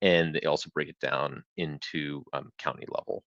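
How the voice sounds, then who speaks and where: A man's voice: a bass voice, fairly even intonation, normal speed, vocal fry, a bit bright, no room sound, dull audio. A man hosting a live real estate webinar, explaining data tools in a conversational way with frequent restarts.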